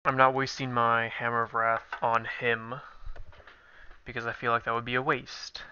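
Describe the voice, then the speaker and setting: Stuffy young guy musing over his card game, bright crisp voice, dull lo-fi mic. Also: flat delivery, moderate pace, no room sound. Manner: thinking aloud, low-key. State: stuffy nose. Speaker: gameplay commentator. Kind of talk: casual card game commentary. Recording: dull lo-fi recording.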